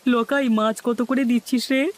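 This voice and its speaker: A man's voice: extremely high pitch, steady tone, measured pace. A man voicing a grumbling, complaining village shopper in a cartoon. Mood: indignant, resigned. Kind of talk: cartoon dialogue.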